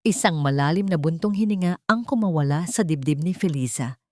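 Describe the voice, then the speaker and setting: A woman's voice: sits low, lively intonation, fairly quick, darkish tone, no room sound, studio quality. A Filipino woman reading a scripted dramatic story as its narrator.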